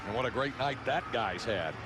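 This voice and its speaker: A man's voice: mid-range voice, lively intonation, moderate pace. A man calling live football play-by-play as a game announcer. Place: football stadium broadcast position. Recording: crowd roar and loud stadium noise. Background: loud crowd and stadium ambience.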